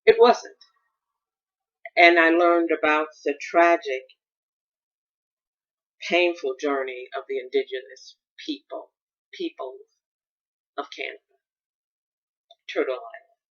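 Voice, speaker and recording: A woman's voice: deep voice, slow pace, long pauses, highs cut off. An American woman hosting a solo podcast, thinking aloud in a rambling, reflective monologue with frequent restarts. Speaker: podcast host.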